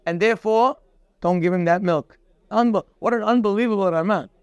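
A man's voice: high-pitched, moderate pace, clear, resonant tone, no room sound, dull audio. A man teaching a daily Torah class, preaching emphatically and speaking straight to the listener. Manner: emphatic, preachy, quotes Hebrew sources then translates; addresses listener directly, repeating "you".

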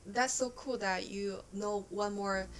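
A woman's voice: mid-range voice, steady tone, takes it slowly, crowd noise. A young adult woman from China with a Chinese accent and Chinese as her first language, chatting as a podcast guest in a hesitant way, with many fillers. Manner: hesitant, frequent fillers, laughs easily. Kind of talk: casual chat.

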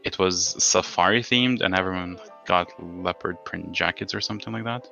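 A man's voice: deep voice, moderate pace, gravelly, pushed and bright, muffled recording, faint music behind. A man hosting a casual football podcast, rambling and frustrated, swearing freely. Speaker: podcast host.

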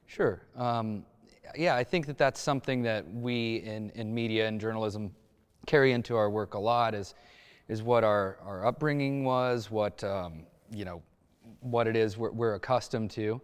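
A man speaking as a journalist on a discussion panel, feeling out his phrases in a halting, measured way. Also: on the low side, clear, resonant tone. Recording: long echoing reverb.

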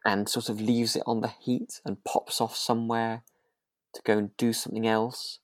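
Low-pitched woman chatting hesitantly, close and dry. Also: flat delivery, normal speed, no room sound. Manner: hesitant, giggly, thinking aloud. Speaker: podcast co-host. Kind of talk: casual chat reviewing a radio drama.

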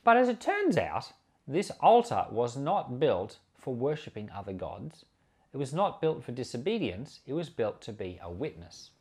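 Man, pastor, animated intonation, earnest and conversational, scripture reading and commentary, repeats for emphasis. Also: mid-range voice, normal speed, husky. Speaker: pastor and podcast host.

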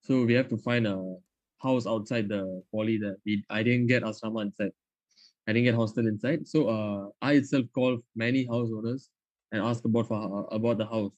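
A man's voice: fairly low pitch, steady tone, a little mellow, no echo. Nineteen-year-old Malaysian English-accented male guest; hesitant, restarting speech; dry, muffled recording. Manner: halting, many restarts and fillers. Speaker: podcast guest, student. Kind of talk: casual podcast chat.

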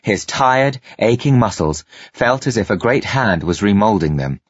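Male audiobook narrator: dramatic, lively storytelling in a lowish breathy voice, close dry muffled sound. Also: a little slow, dry recording.

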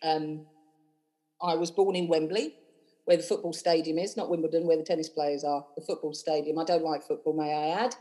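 A woman's voice: sits low, steady tone, a little fast, warm tone. A woman in her thirties with a London accent, chatting effusively as an interview guest, rambling with many fillers. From London, England. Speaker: cabaret host and singer, guest. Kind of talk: casual interview chat.

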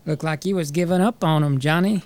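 An actor playing a volatile, scheming frontier timber man, with an American accent and rough, folksy speech. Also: on the high side, a little fast, darkish tone, lightly acted. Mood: grimly eager. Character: volatile, hard-bitten frontier brother, a scheming timber claimant. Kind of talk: dramatic film dialogue reading.

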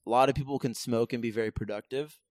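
A man's voice: mid-range voice, steady tone, fast, a little mellow, dry recording, clean studio sound. A man chatting casually on a podcast, chipping in with slangy, joking remarks. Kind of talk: casual chat.

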